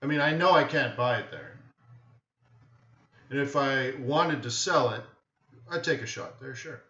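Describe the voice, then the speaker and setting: A man's voice: mid-range voice, unhurried, pushed and bright. A man hosting a live webinar with casual, offhand commentary, thinking aloud and often checking in with "right?". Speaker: host of a live trading webinar. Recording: dull, roomy, echoey webinar audio.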